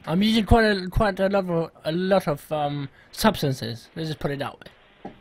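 A man making a prank phone enquiry in a hesitant, halting, polite way. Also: high voice. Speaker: prank caller.

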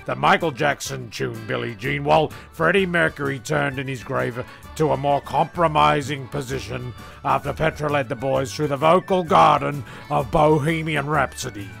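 A man's voice: medium pitch, moderate pace, creaky, a bit bright, soft background music. A man narrating a scripted comedy in a mock-grand, rambling way. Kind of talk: comic scripted storytelling with sung shanty.

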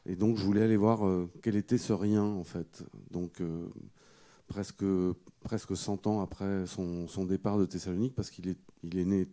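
A middle-aged man with a low, very dark and soft-edged voice speaks unscripted as a panel guest. He feels his way through the story, hesitating and doubling back on words. He holds a microphone close. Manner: halting, hesitant delivery with repeated words. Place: indoor auditorium stage. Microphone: handheld microphone held close.

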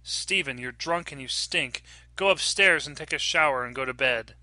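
Male reader as battered wife, frightened, sharp tense tone, horror audiobook. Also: mid-range voice, moderate pace, no echo. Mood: firm. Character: frightened, battered wife standing up to her husband.

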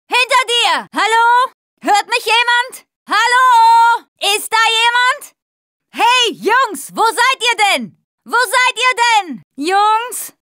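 A spirited young female zombie-apocalypse survivor from a video game. Her voice is high and swings widely in pitch. She delivers short, emphatic lines at an unhurried pace, caring about her team. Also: bright, forceful tone, no room sound, clean studio sound, heavily acted. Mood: alert, warning, then anxious, calling out. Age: young adult. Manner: short, emphatic, separate exclamations and phrases.

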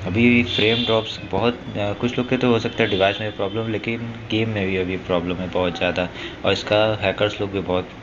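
A man's voice: on the low side, steady tone, a little fast, highs cut off, background noise. A man with an Indian accent giving casual gaming news commentary, talking informally to his viewers like brothers. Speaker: gaming YouTuber. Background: music behind the voice.

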